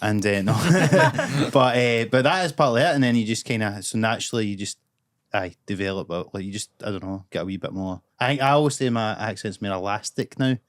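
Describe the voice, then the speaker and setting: A man's voice: fairly low pitch, a little fast, dry recording, clean studio sound. A young Scottish man with a Glaswegian accent, co-hosting a podcast in loose, chatty talk with swearing and laughter. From Scotland. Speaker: podcast co-host. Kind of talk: casual chat.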